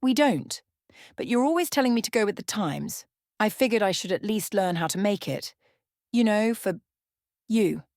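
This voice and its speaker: A woman's voice: mid-range voice, expressive, moderate pace, a little mellow, dry recording, studio quality, pitched above the performer's own voice, close to an everyday voice. A woman voicing an ancient female vampire in a bedtime story, sly, playful and teasing. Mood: playful, curious. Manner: teasing, mischievous.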